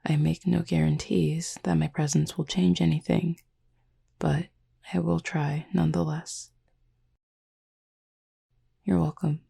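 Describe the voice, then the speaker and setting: A woman voicing a calm, formal, gently teasing cupid demon roommate in a soft-spoken sleep-aid roleplay, with a husky, breathy voice. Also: full character voice. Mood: amused, caring. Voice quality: husky, breathy, gravelly low voice. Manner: formal, measured, softly teasing speech.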